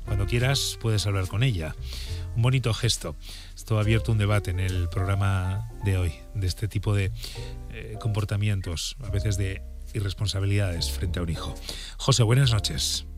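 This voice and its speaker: A male radio host speaks live. His voice sits on the low side, and music plays clearly underneath as he presents and takes calls. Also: moderate pace. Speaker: radio call-in show host. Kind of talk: live radio talk hosting. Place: radio studio.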